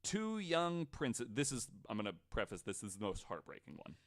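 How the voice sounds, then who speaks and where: A man's voice: mid-range voice, sing-song, moderate pace. A man hosting a podcast, telling stories casually from notes with informal, sweary asides. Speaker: podcast host.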